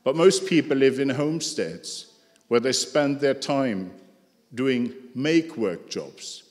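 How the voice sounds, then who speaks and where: A man's voice: mid-range voice, lively intonation, darkish tone. Guy giving a slide talk, english not his first language, dry ironic asides, big echoey hall. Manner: measured, dryly ironic, occasional asides. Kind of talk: prepared lecture with slides. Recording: echoey hall with long reverb.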